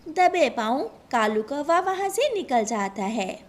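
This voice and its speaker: High-pitched woman narrating a story. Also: sing-song, measured pace. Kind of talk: scripted children's cartoon narration in Hindi.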